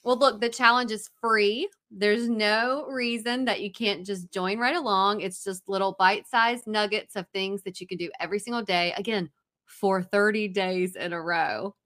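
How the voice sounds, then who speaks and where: A woman's voice: mid-range voice, steady tone, normal speed, a bit bright. A woman co-hosting a podcast in an enthusiastic, chatty way, introducing a guest on a video call. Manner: enthusiastic, chatty, laughs often. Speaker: podcast co-host. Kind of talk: casual podcast chat. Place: home studio, video call.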